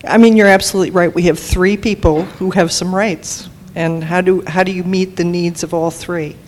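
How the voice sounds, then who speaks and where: A woman on a panel answering audience questions in a brisk, affirming way. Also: on the low side, steady tone, a little mellow, slight background noise. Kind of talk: panel discussion.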